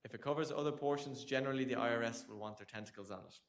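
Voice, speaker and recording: An Irish man speaks to a room of international students at a tax workshop. He explains the rules in a relaxed, conversational way, with dry asides and questions for the audience. His voice sits at an ordinary middle pitch for a man. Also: rapid-fire. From Ireland. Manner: conversational and joking, addressing the room. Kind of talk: live presentation to students.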